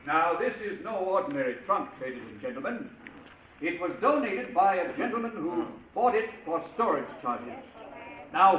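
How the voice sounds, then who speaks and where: Showy auctioneer, high voice, theatrical coaxing patter, echoey, tinny vintage radio drama. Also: male voice. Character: showy, jovial charity auctioneer working a crowd. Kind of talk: 1940s radio acting. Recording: tinny, echoey, noisy old radio recording.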